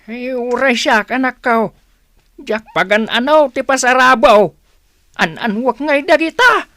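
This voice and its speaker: A woman's voice: a little high, lively intonation, a little slow, fairly bright tone, no room sound. A woman playing a weary, wheezing elderly parent in a radio drama, with an Ilocano accent and groaning speech. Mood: weary grumbling. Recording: noisy radio drama recording.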